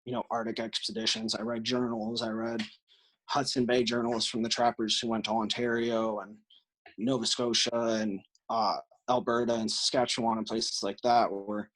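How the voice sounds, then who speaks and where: Man, American accent, mid-pitched voice, earnest, explanatory, conversational podcast guest. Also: moderate pace, vocal fry, a bit bright, low-quality recording. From Illinois, United States. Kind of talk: conversational interview answers.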